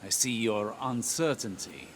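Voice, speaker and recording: An actor playing a wise, sardonic warlock emissary in a video game, with dry, needling delivery. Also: male voice, lowish voice, expressive, a little slow, a little hiss or hum.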